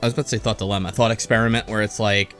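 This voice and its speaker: A man hosting a casual podcast, animated and stammering through his ideas. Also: fairly low pitch, racing, faint music behind. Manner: animated, stammers and restarts often. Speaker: podcast host. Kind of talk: casual chat.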